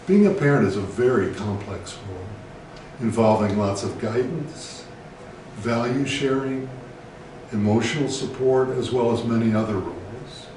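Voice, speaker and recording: A man with an American accent, a therapist presenting scripted educational advice in a measured, deliberate way. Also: mid-range voice, a little mellow. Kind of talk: scripted educational talk to camera. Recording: very echoey room, distant mic, faint noise.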